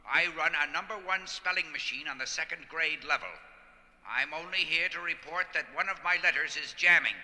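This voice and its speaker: An actor playing a stiff, irritable, fearful bureaucrat with a pedantic manner in an audio drama. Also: male voice, a little high, normal speed, bright, forceful tone. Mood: stiff, anxious. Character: officious, irritable, fearful bureaucratic factory man. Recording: long hall reverb.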